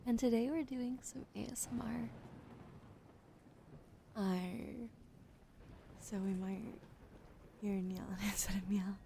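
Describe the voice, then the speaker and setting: A woman streamer chatting with viewers during an ASMR livestream, often whispering softly, close to a sensitive studio mic. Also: mid-range voice, fairly even intonation, vocal fry, slight background noise. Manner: often whispering, soft and unhurried. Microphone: sensitive studio mic on a boom arm.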